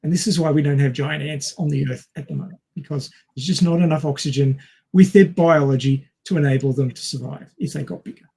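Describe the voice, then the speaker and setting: A man's voice: a little high, brisk, vocal fry, mellow, husky. A man co-hosting a podcast, explaining science informally in a didactic manner. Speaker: podcast co-host.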